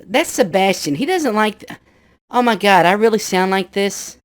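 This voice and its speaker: Man, pitched-up childlike voice effect, irritable, profane, dry close sound. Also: animated, moderate pace, no room sound. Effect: pitched-up voice changer, childlike. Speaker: guest storyteller. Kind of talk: casual chat.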